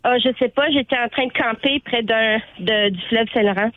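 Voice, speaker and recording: A woman's voice: a little high, normal speed, phone-quality sound. A woman in her forties from Ontario, with a Franco-Ontarian accent and a stuffy nose from allergies, cheerfully calling in to a radio show. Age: 40s. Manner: cheerful, laughs easily. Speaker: caller.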